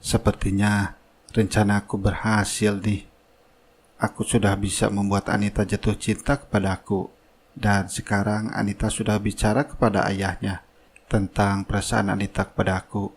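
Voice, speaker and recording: A man's voice: fairly low pitch, little pitch movement, normal speed, slight background noise, lightly acted. A man playing a scheming young worker in a cartoon drama, who puts on a meek, innocent manner over his slyness. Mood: sly, smug. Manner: deferential aloud, sly in private. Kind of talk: cartoon drama dialogue and inner thoughts.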